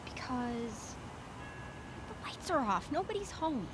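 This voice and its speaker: A woman's voice: high voice, very animated, slow pace, background noise, exaggerated voice. A young woman playing a sceptical, wry adventuress in an audio drama. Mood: sceptical, questioning.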